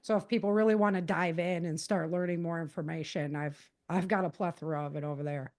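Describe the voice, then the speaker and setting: A woman's voice: lowish voice, steady tone, fairly quick, darkish tone. A middle-aged American woman giving conversational interview answers in an earnest, emphatic and informal way. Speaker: podcast guest, author and self-defense teacher.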